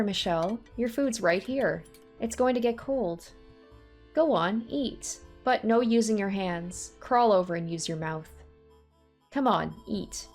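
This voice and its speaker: A cruel young woman captor with a low, soft voice that sounds sweet on the surface and mocking underneath, as a woman reader puts her on. She taunts slowly and sadistically, toying with her captive. Storybook reading with faint music behind. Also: lightly acted.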